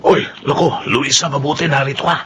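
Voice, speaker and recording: A man playing a chatty, concerned buddy of a jeepney driver in a radio drama, colloquial and animated. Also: medium pitch, fairly quick, slight background noise, lightly acted. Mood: alarmed, urgent.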